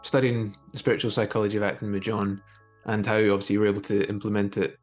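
A man's voice: lowish voice, little pitch movement, fast talker, soft background music. A man in his thirties asking questions conversationally as a podcast interviewer on a video call. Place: indoor room on a video call.

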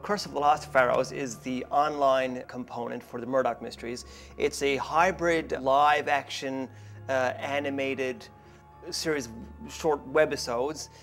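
A man in his thirties chats in an interview with a noticeably creaky voice of middling pitch. Music is clearly audible behind him. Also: steady tone, measured pace, a bit bright. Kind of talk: casual interview talk. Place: indoor office with artwork on walls.

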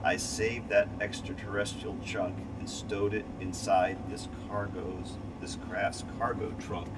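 Man as a bold storybook cat hero, confident, declaiming, distant mic, loud hum behind. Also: on the low side, level tone, unhurried, close to an everyday voice. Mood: pleased. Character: brave, confident male cat astronaut and rescuer.

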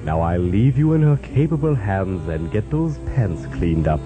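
A man's voice: deep voice, big pitch swings, normal speed, phone-quality sound, over music. A man playing a genial, courteous, slightly dotty mad doctor in a comic horror radio play.

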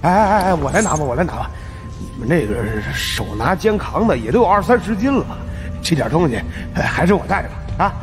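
High, whiny greedy fat man. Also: big pitch swings, fairly quick, music in the background. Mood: eager wheedling. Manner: complaining, wheedling.

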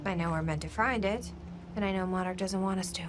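A woman's voice: medium pitch, fast talker, music in the background, close to an everyday voice. A woman acting a tough, sardonic, no-nonsense operative in a cinematic video game, terse and dry. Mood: serious, urgent.

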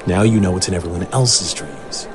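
A young actor playing a cheeky, eager film graduate who wisecracks conversationally in an animated comic drama. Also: male voice, deep voice, normal speed, music in the background. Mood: wisecracking. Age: young adult.

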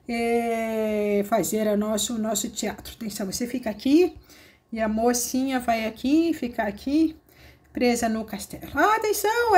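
Brief: excited high-pitched woman, chatty game narration, slightly hoarse. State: somewhat hoarse after a cold. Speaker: host. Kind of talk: playful narrated gameplay.

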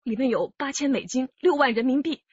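一位女演员在广播剧中扮演精明的年轻上海妻子，也是劫案受害者，声音带气声。. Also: female voice, sits high, animated, a little fast, husky, no room sound, dull audio. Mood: shaken. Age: young adult. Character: shrewd young Shanghai wife, robbery victim. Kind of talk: radio drama acting.

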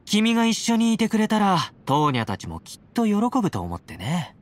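A man playing a confident, playful young warrior in a Japanese anime-style game, teasing and affectionate in a breezy, smooth-talking way. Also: high-pitched, huge pitch swings, brisk. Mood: warm, wistful. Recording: game audio with background music.

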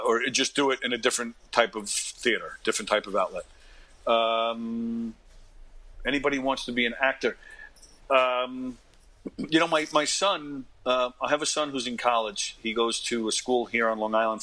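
A man of fifty with a bright, forceful voice at a middling pitch. He talks casually as an interview guest, like an animated storyteller used to performing. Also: normal speed, low-quality recording. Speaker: interview guest, actor and comedian. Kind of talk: casual interview anecdotes and advice.

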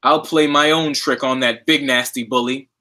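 A man's voice: medium pitch, fairly even intonation, moderate pace, bright, forceful tone, natural voice. A man voicing a shrewd, feisty old grandmother in a read-aloud storybook, prim and crafty.

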